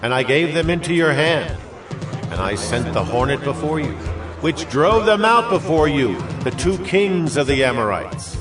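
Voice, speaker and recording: Solemn aged leader, music behind. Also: male voice, a little high, very animated, moderate pace, recorded from a distance. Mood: proclaiming. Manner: solemn, declamatory, emphatic. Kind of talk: dramatized scripture oratory.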